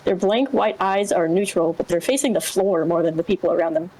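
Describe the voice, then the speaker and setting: A woman's voice: lowish voice, brisk, dark tone, slight background noise, rough recording. A woman narrating a scripted story and chatting casually as a reader in a group. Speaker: reader in a group dramatic reading.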